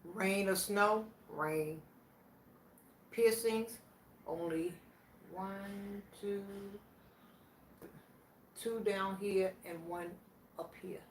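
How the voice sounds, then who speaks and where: Slow-talking woman in her sixties, echoey room. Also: medium pitch, steady tone. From Virginia, USA. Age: 60s. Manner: plainspoken, slow and reflective, answering questions aloud. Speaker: vlogger. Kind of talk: casual question challenge. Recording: echoey room, voice from a distance, faint background noise.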